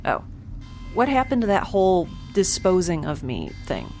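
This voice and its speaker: A woman's voice: fairly low pitch, huge pitch swings, normal speed, a little mellow, faint music behind. Woman playing a plucky, informal space scientist. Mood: wry, impatient. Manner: casual, chatty, hesitant. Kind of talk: scripted audio drama acting.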